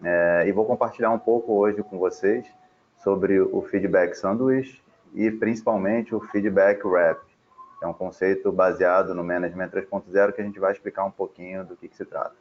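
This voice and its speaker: Very deep monotone guy, businesslike intro, muffled call with a bit of hiss. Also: normal speed. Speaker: executive director, consultant. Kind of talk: self-introduction on a web panel. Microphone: video call. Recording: muffled web call with faint noise.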